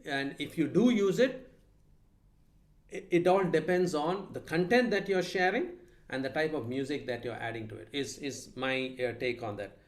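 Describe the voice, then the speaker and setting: Man moderating an online club meeting. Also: fairly high pitch. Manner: measured, explanatory, with hesitations. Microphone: video call.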